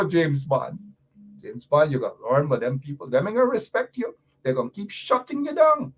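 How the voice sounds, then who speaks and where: A man's voice: high voice, poor audio. A Guyanese man hosting a radio talk show, delivering fiery, sarcastic political commentary with mocking repetition.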